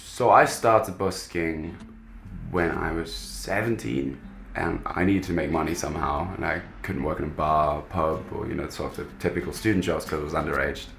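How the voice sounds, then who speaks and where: A man's voice: a bass voice, normal speed, creaky, fairly bright tone, reverberant. A man in his twenties from Germany, a working musician talking reflectively in a documentary interview. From Germany, moved to England at fifteen. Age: 20s. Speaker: busker, professional musician.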